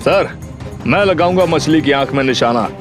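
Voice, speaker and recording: A man's voice: a little high, moderate pace, music in the background, natural voice. A man playing a cocky, bossy army lieutenant with a self-assured, mocking way of talking, in an audio drama. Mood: confident. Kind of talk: Hindi audio story dramatization.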